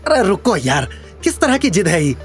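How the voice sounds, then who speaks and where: A man's voice: sits high, wildly swooping pitch, normal speed, faint music behind, voice pushed up. A man voicing a reluctant, exasperated young male tea seller in an audio story, flustered and protesting.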